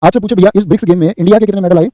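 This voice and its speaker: Rapid-fire exam coach, man. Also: high-pitched, fairly even intonation, dark tone, dry recording. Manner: rapid, repeats answers and asks "what will you say?". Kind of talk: live online class, quizzing students.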